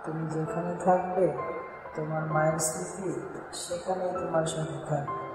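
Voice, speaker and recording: A performer playing a gentle, protective pigeon uncle in a children's cartoon, consoling and explaining things. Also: mid-range voice, flat delivery, normal speed, natural voice. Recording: very echoey long reverb, music behind.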